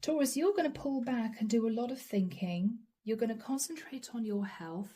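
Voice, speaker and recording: A woman doing a channeled tarot reading straight to the viewer, earnest and apologetic, her voice going hoarse. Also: on the high side, moderate pace, dark tone. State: losing her voice. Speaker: tarot reader.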